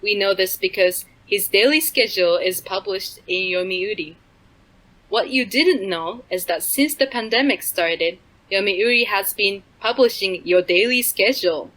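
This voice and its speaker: A woman's voice: medium pitch, measured pace, a bit bright. A woman co-hosting a comedy news show, delivering absurd jokes in a matter-of-fact way. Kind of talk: scripted comedy news reading.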